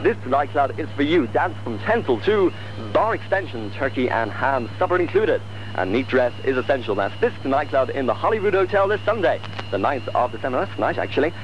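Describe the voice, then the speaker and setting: A hoarse man working as a radio DJ, chatty and jokey. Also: mid-range voice, sing-song, a little fast. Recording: dull old radio recording with music.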